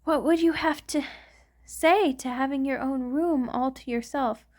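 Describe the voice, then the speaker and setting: Woman voice-acting a devoted female vampire, high-pitched, tender, hesitant, refined, romantic ASMR roleplay. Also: measured pace. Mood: doting, playful. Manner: tender, hesitant, earnest, gently formal. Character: affectionate, refined vampire queen in love with a human.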